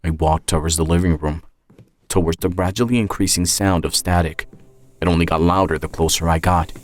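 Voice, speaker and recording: A man's voice: low voice, steady tone, brisk. A man reading a first-person suspense story as a scripted narration. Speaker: writer and narrator of an audio story.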